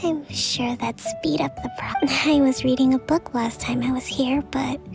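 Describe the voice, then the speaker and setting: A shy, dutiful young priestess from a game. Her high voice is soft and breathy with a frayed creak, hesitant and tired as she confides, with music underneath. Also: steady tone, moderate pace. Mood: tired, wistful. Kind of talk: video game voice acting. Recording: light music behind the voice.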